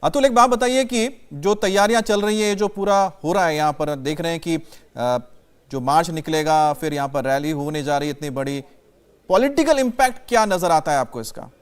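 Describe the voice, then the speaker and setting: A man's voice: a little high, expressive, normal speed, smooth. A man anchoring live TV news in an insistent way, cutting in with questions.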